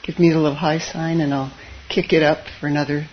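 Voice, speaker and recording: Soft-spoken Zen teacher, lo-fi hiss. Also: level tone, brisk. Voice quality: soft voice that tends to drop low. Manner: hesitant, with frequent fillers and wry asides. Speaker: Zen teacher giving a dharma talk. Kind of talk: gentle, informal dharma talk. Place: meditation hall with a live audience. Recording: dull lo-fi audio with faint hiss.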